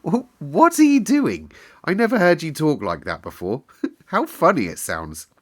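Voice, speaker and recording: A man's voice: on the high side, wildly swooping pitch, measured pace, voice pushed up, heavily acted. A man voicing a young, curious invalid boy in a storybook, exclaiming with wonder. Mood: amused surprise.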